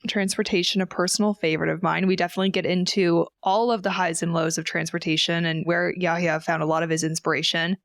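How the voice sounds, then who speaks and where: A Canadian woman co-hosting a podcast, upbeat and quick to laugh as she chats and introduces a guest. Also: fairly low pitch, flat delivery, no echo, studio quality. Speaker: podcast co-host.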